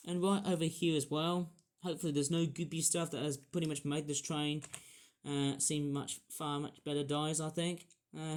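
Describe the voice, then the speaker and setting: A man's voice: on the high side, a little mellow. A young man casually reviewing a toy train set, rambling and apologetic, with many fillers.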